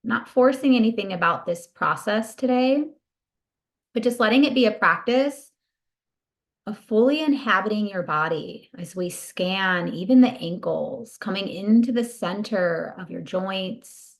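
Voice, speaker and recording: A woman leading a guided body scan meditation in a soothing, gentle, unhurried manner. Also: mid-range voice, lively intonation.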